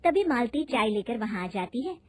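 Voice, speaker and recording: A woman's voice: a little high, sing-song, moderate pace, slightly rough, no room sound. A woman narrating a scripted moral story as a storyteller.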